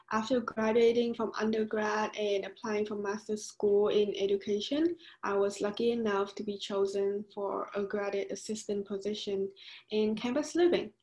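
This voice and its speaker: A woman's voice: on the high side, darkish tone, reverberant, poor audio. A young woman in her twenties from Vietnam, speaking English with a Vietnamese accent, giving earnest answers as an interview guest. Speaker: graduate student guest.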